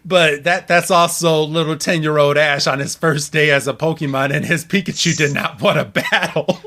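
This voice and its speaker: A man hosting a podcast in a casual recap style, halting and restarting his words, often chuckling. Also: fairly high pitch, steady tone, bright, forceful tone. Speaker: podcast host. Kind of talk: casual episode recap chat.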